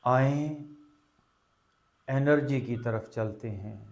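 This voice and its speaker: Slow-paced man guiding a meditation. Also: medium pitch. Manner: calming, deliberate, short phrases with long pauses. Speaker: yoga and meditation teacher. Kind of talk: guided meditation, spoken instruction.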